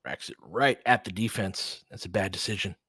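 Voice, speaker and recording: Low-voiced man giving casual basketball commentary. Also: moderate pace, sharp tone, breathy edge, no room sound, studio quality. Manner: conversational, analytical, thinking aloud in loose asides. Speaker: sports streamer doing audio play-by-play and analysis. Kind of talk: live basketball commentary mixed with casual analysis and chat replies.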